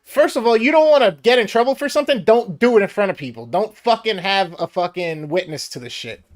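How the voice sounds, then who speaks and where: A man's voice: high voice, lively intonation, a little fast, no echo, clean studio sound. A man in casual podcast chat, ranting with frustration and swearing often.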